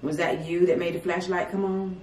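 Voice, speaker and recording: A woman, leading a paranormal investigation and asking a spirit slow, polite questions. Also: lowish voice, little pitch movement, a little mellow, slight background noise. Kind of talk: spirit communication session. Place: dim salon at night. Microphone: far camera. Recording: echoey room from far off.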